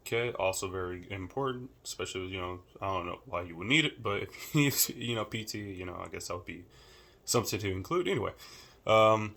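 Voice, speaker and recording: A young man with a deep voice records a class assignment, talking casually and thinking aloud with many fillers. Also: expressive, fairly quick, vocal fry, bright, forceful tone. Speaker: student. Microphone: camera held or set nearby.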